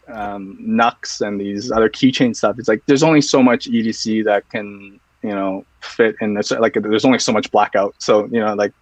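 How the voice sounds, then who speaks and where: A man's voice: mid-range voice, no room sound, dull audio. A man guesting on an interview, talking casually and loosely with lots of restarts and fillers. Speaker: guest, EDC accessory reviewer.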